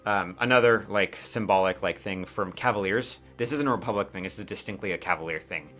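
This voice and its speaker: A man with a fairly low, forceful voice serves as game master for a tabletop game. He improvises his narration in an informal, halting way, often breaking off into asides. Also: soft background music.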